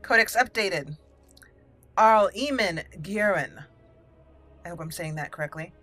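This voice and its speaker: A woman's voice: lowish voice, lively intonation, measured pace, sharp tone. A woman hosting a roleplaying let's play, speaking in character and commenting as she plays. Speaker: gaming channel host, player. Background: game music.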